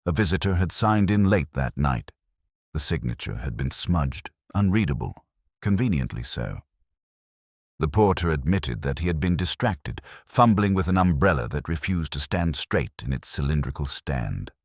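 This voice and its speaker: A man narrating a first-person detective story in a measured, brooding, literary manner. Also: a bass voice, very animated, dry recording, studio quality. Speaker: audiobook narrator.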